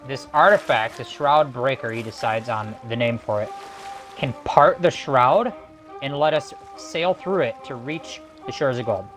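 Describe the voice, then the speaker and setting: Male game-stream co-host, average pitch, casual explainer with halting phrasing, soft music underneath. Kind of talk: casual game commentary and chat.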